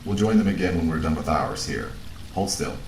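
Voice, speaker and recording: Deep-voiced, measured male android, played by an actor: dry, clinical, caring, audio drama, roomy echo with faint noise. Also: darkish tone, lightly acted. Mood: dry, concerned. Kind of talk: naturalistic audio drama acting.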